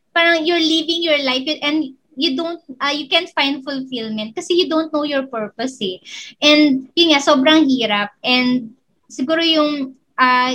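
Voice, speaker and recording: High-voiced Filipino woman, earnest. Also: fairly bright tone, low-quality recording. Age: 30s. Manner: earnest, mixes English and Tagalog. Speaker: guest. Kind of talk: casual podcast chat.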